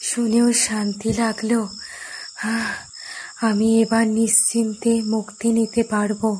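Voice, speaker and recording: A woman voicing the sad ghost of a poor young village woman, slow and halting, in a cartoon ghost story. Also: fairly high pitch, little pitch movement, dark tone, a little hiss or hum, close to an everyday voice. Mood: relieved, peaceful. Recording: music under the voice.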